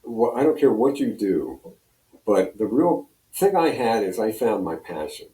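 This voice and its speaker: An older American man with a low, mellow voice reminisces as a guest in a casual interview. He wanders through his anecdotes, and the sound is roomy. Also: very animated, measured pace. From Pennsylvania, United States. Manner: rambling, anecdotal storytelling. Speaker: guest, retired radio personality. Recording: roomy echo, distant from mic.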